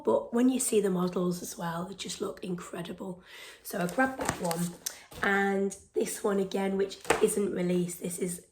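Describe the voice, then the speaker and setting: A woman with a hoarse, failing voice hosts a casual show-and-tell vlog, chatting excitedly with lots of ums and phrases that drop into a breathy whisper. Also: mid-range voice. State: hoarse, losing her voice. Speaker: stitching vlog host.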